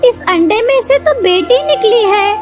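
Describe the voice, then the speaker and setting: A woman's voice: extremely high pitch, animated, measured pace. A woman voicing a worried old mother-in-law crow in a children's cartoon, fretting and complaining. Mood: dismayed complaining. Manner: fretful, complaining. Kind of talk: Hindi children's cartoon dialogue. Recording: loud music behind the voice.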